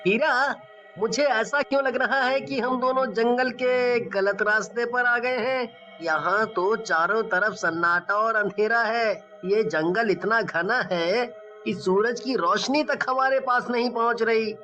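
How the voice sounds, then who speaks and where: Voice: mid-range voice, a little slow, bright, forceful tone, muffled recording, soft background music. An actor voicing a nervous young male traveller in a cartoon, stammering when scared. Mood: uneasy. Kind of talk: cartoon voice acting.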